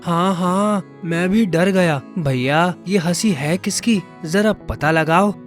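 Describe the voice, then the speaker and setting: A man playing excitable, wary village boys in a children's folktale, exclaiming and questioning. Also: high-pitched, slow, faint music behind. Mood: startled curiosity.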